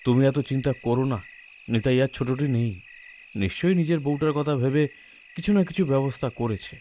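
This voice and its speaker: A man playing a patient middle-aged village father in a cartoon, reasoning calmly. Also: medium pitch, fairly quick, a little hiss or hum, lightly acted. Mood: reassuring. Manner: calm, reasoning.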